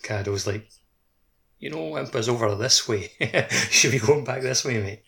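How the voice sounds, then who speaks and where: A man's voice: mid-range voice, normal speed, creaky, bright, forceful tone. A man co-hosting a podcast, chatting casually about video games. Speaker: podcast co-host. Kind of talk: casual video game chat.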